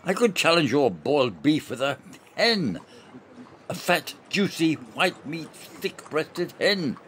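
A man playing a stubborn, gloating older officer in an amateur radio play, savoring his words with emphatic, drawn-out descriptions. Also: on the high side, big pitch swings, fairly bright tone, slight background noise, heavily acted. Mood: teasing challenge. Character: stubborn, gloating older male soldier-officer relishing imagined food.

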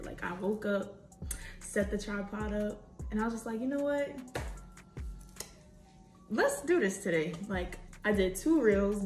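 A young woman in her twenties chatting casually to camera in a home kitchen, slangy and animated as she cooks. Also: medium pitch, roomy sound. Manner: chatty, slangy, animated, talks while cooking. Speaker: vlogger. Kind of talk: casual vlog chat to camera. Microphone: camera on a tripod at a distance.

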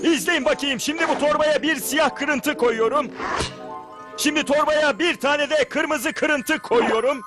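A man voicing a naive, hesitant little bug, a childlike gentle sidekick in a children's cartoon. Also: extremely high pitch, unhurried. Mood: eager. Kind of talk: animated children's cartoon acting. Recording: cartoon music behind the voice.

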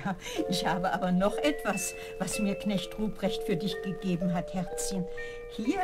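A woman's voice: medium pitch, steady tone, a little slow, loud music behind the voice, natural voice. A woman playing an affectionate, doting grandmother in a 1970s children's radio play. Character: doting grandmother giving presents.

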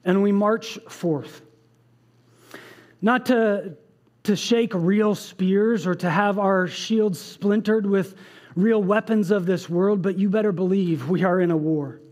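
A man's voice: high-pitched, takes it slowly, a little mellow. A man in his thirties, a pastor preaching on a church stage, earnestly reading aloud with asides. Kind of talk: sermon. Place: church stage with band behind.

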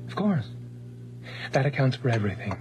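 A man's voice: medium pitch, sing-song, fairly quick, darkish tone, faint music behind, natural voice, poor audio. An actor in a radio horror drama playing a betrayed, unhinged man who has been robbed of his identity. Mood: cold, accusing.

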